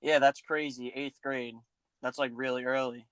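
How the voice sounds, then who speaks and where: A man's voice: medium pitch, flat delivery, normal speed, fairly bright tone, clear, resonant tone, no room sound. Upbeat young male podcast host. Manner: upbeat, casual, many "like"s. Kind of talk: casual podcast interview.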